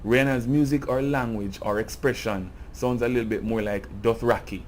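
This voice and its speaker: A man's voice: mid-range voice, fairly even intonation, normal speed, a little mellow, slight background noise. A Jamaican man giving an earnest, impassioned cultural commentary in a video essay. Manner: earnest, grows impassioned and emphatic.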